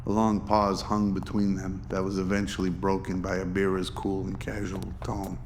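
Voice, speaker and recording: A man's voice: low-pitched, completely flat delivery, normal speed, a little hiss or hum. A man narrating a scripted audio drama.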